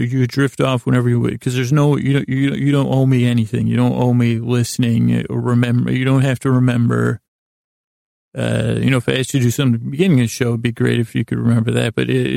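Gravelly, rambling man podcasting at bedtime. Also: medium pitch, darkish tone, no room sound, clean studio sound. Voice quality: gravelly, creaky. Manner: halting, meandering, self-interrupting, stammering delivery; lighthearted, self-deprecating. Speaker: podcast host.

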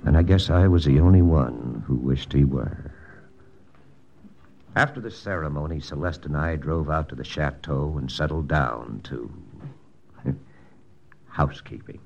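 A man's voice: extremely low pitch, unhurried, vocal fry, pauses often, darkish tone, highs cut off, a little hiss or hum, full character voice. An actor playing a wry army lieutenant who narrates his own story in an old radio drama. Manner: wry, dry, confiding narration. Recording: old radio broadcast with music.

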